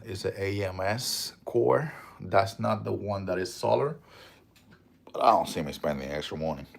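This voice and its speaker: A man's voice: low voice, creaky. A man with a strong Puerto Rican accent hosting a casual livestream, rambling, swearing freely and answering viewer comments. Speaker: livestream host.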